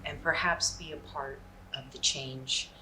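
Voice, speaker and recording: Low-voiced woman, distant and noisy recording. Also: steady tone, measured pace, pushed and bright. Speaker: theatre director and guest. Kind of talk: reflective interview answers. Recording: distant mic, clearly noisy room.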